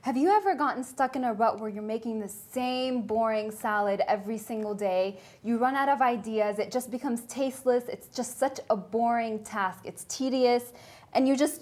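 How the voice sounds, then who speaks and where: A woman's voice: on the high side, moderate pace, a little mellow. A young woman from California, a dietetics student, upbeat and talking straight to camera as she presents a cooking segment in a studio kitchen. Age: young adult.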